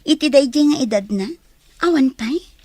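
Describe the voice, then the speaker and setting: A woman's voice: high-pitched, expressive, normal speed, a little mellow, dry recording. A woman playing an amused, skeptical, teasing young Filipino confidante in a radio serial. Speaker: radio drama actor.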